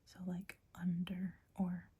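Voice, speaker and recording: Breathy whispering woman, slow and soft. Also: lowish voice, monotone. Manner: whispering, hesitant, searching for words. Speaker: teacher. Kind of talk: whispered ASMR phonetics lesson.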